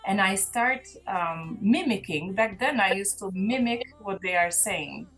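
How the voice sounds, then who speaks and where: A woman's voice: medium pitch, animated, a little slow, fairly bright tone, soft background music. A woman, a gospel singer speaking as an interview guest in an earnest, reflective way, often restarting her sentences. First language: Maltese. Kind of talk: casual interview chat.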